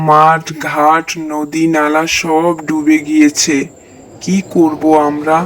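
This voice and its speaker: A man's voice: on the high side, slight background noise. A man voicing a poor, devout village farmer and father in a moral cartoon, earnest and plaintive. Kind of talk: cartoon voice acting, moral tale.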